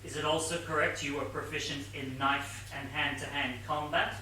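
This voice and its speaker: An actor playing an earnest, officious police inspector in a stage whodunit, questioning in a formal manner. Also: male voice, fairly high pitch, flat delivery, moderate pace, pushed and bright. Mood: officious, probing. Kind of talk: amateur one-act stage play acting. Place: indoor theatre stage before an audience. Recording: echoey theatre stage from far, faint noise.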